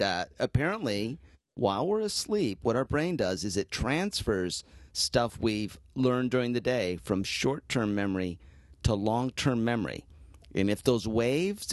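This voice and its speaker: A man's voice: mid-range voice, no echo, studio quality. A man co-hosting a casual chat show who sums up a news story and jokes about himself in a wry way. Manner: wry, self-mocking, joking.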